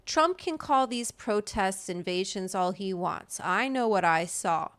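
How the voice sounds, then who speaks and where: A woman host with a smooth, clean voice in a middle register reads from a written piece. Her delivery is emphatic and impassioned, like someone presenting an argument she means, with brief spoken asides. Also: mid-range voice, expressive, a little slow, fairly bright tone. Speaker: host reading an article aloud. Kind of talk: reading a written political essay aloud with brief asides.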